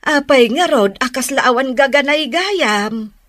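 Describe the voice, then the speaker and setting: Actress playing middle-aged village mother, caring, Ilocano accent, animated slow speech, radio drama. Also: high voice, no room sound, studio quality. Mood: concerned, motherly. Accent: Filipino, Ilocano. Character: Dorotea, caring hardworking mother and village vegetable vendor.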